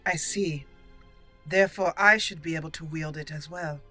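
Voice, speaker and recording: A resolute young elven mage commander, voiced by a woman roleplaying a game, bright and forceful. She speaks formally and deliberately, with solemn firmness, over music. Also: low-pitched, steady tone. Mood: inquisitive, formal. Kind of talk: improvised video game roleplay.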